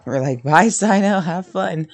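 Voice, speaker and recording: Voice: mid-range voice, animated, a little slow. A gamer giving informal, slangy reaction commentary while playing a game.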